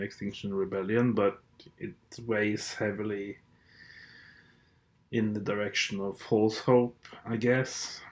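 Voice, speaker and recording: A man's voice: fairly low pitch, darkish tone. A man whose first language is Norwegian, hosting a podcast in a hesitant, blunt and sardonic monologue full of fillers and restarts. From Norway. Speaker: podcast host. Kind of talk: casual monologue.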